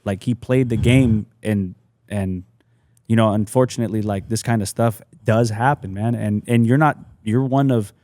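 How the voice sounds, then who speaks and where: A man's voice: fairly low pitch, fairly even intonation, normal speed, mellow, no echo. A man from the San Diego area, hosting a podcast in an informal, slangy, conversational way and reacting to his guest. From San Diego area, United States. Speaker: podcast host. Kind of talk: casual conversational interviewing.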